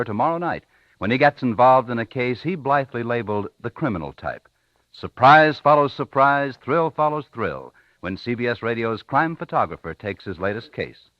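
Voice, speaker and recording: Very animated 1950s radio promo man. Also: mid-range voice, no echo. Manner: punchy, theatrical. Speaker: promo announcer. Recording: dull, dry vintage radio recording.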